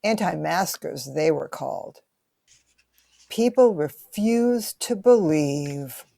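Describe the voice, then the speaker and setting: A woman playing a grave, indignant young lady of 1920 in a radio play. She speaks slowly in a low, dark voice with plenty of creak. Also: expressive, dry recording, heavily acted.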